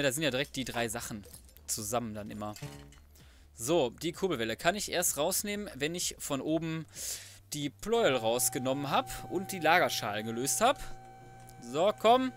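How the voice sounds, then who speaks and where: A man's voice: mid-range voice, fairly bright tone. A man giving casual, colloquial let's play commentary, muttering to himself as he goes. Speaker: gaming video host.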